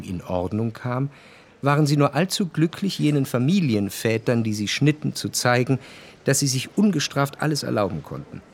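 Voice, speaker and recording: A man playing an introspective narrator recalling his past love in a literary radio drama, measured and reflective. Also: mid-range voice, lightly acted.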